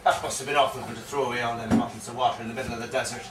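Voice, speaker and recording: An actor playing an earnest, sympathetic drinker prompting a storyteller in a live stage play. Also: male voice, mid-range voice, fairly even intonation, rapid-fire, natural voice. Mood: earnest, curious. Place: pub room, away from the mic. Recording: distant, echoey live recording, clear background noise.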